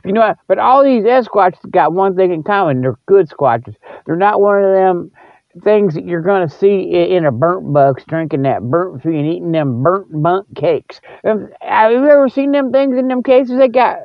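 A man with a notably high voice hosts a loose, rambling comic radio monologue. His delivery is folksy and colloquial, teasing the listener as he goes. The sound is dull and lo-fi, with very little room echo. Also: moderate pace, gravelly. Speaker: radio show host. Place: cold spot, apparently outdoors.

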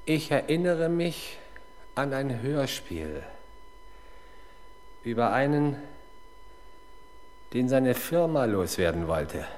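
Gruff, sardonic police inspector. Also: male voice, medium pitch, big pitch swings, slow pace, long pauses, a little mellow. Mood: bitter, sardonic. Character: stubborn, embittered male police inspector, hot-tempered. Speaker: actor in a radio crime play. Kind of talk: 1970s German radio drama acting.

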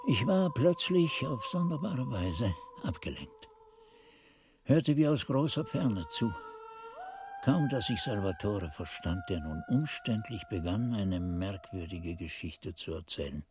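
An actor playing an earnest, reflective monk who tells his story in expressive, deliberate literary narration for a radio drama. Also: fairly low pitch, music in the background, heavily acted. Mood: earnest recounting.

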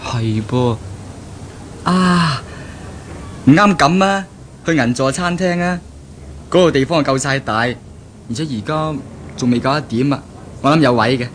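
A man's voice: medium pitch, measured pace, long pauses, a bit bright, lightly acted. A man with a Hong Kong Cantonese accent playing an affectionate, easygoing young bridegroom-to-be, amiable and earnest, in a 1970s radio drama. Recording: slightly noisy old radio recording.

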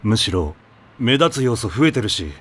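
An actor playing a dry, bemused teenage teammate in a Japanese anime audio drama. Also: male voice, fairly low pitch, very animated, normal speed, a bit bright, slightly rough, full character voice. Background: background music.

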